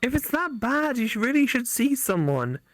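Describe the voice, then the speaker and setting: A woman's voice: on the high side, sing-song, moderate pace, no echo, faint music behind, pitched above the performer's own voice. A woman voicing a young female college classmate in a visual novel, frank, suspicious and scolding. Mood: concerned.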